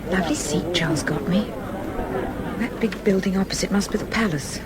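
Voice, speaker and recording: An actress playing an earnest, excitable young Englishwoman in a radio play. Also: lowish voice, a little fast, natural voice. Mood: observant. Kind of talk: radio drama acting. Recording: loud music and crowd noise behind.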